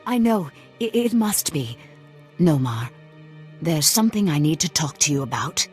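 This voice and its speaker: An actress voicing a wary, stubborn, lovelorn middle-aged woman in a dramatic video game scene, with a husky voice. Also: deep voice, sing-song, moderate pace, darkish tone, faint music behind. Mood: wary, hesitant.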